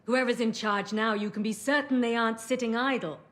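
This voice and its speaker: A woman's voice: a little high, fairly even intonation, fairly quick, a bit bright, natural voice. A woman playing a serious, wary member of an assassin council in a video game. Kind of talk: dramatic video game dialogue.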